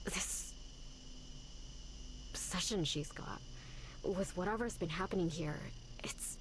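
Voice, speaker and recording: A woman's voice: on the low side, slow, slight background noise, rough recording. An actress voicing a frank, uneasy young woman at a convent, plain and blunt, in a visual novel.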